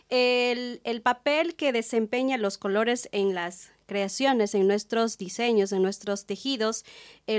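Ecuadorian highland woman answering interview questions. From Chimborazo, Ecuador. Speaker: guest, textile artisan.

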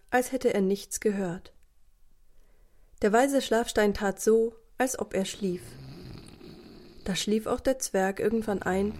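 A woman's voice: medium pitch, darkish tone. A woman narrating a scripted children's story as a storyteller.

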